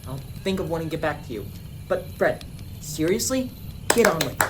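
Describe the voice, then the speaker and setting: A woman's voice: sits low, expressive, moderate pace. A woman playing a grumpy, stubborn young guy in an amateur radio play, blunt and dismissive. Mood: impatient. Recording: clear background ambient noise.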